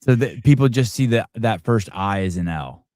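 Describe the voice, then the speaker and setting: Middle-aged male host, live interview chat, low pitch, dry mic, lots of restarts and tangents. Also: animated, moderate pace, a little mellow, no echo. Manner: rambling, self-deprecating, many restarts. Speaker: podcast host.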